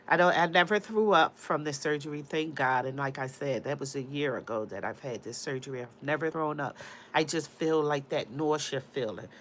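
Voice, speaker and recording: A woman with a cold and a congested voice, rambling in casual chat to her livestream viewers. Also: sits low. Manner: rambling, talks straight to viewers. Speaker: livestream host.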